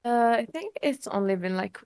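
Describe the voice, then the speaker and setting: A woman's voice: fairly high pitch, lively intonation, moderate pace, darkish tone. A young adult woman, a VTuber guest, talking hesitantly in a casual interview and leaning on "like" and "you know".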